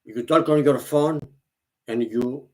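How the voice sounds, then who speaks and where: A man whose first language is Greek, speaking English slowly and earnestly to the camera. He often halts, repeats himself and restarts his phrases. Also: medium pitch. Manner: halting, repeats and restarts phrases, non-native grammar. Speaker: vlogger. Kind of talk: slow, earnest monologue to camera.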